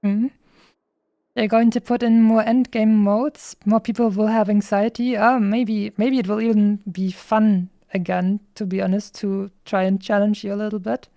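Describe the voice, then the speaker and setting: A woman game streamer talks casually in a mellow, medium-pitched voice. She rambles and drops playful asides. The recording is dry and close. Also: little pitch movement, normal speed, no echo. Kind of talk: casual livestream chat.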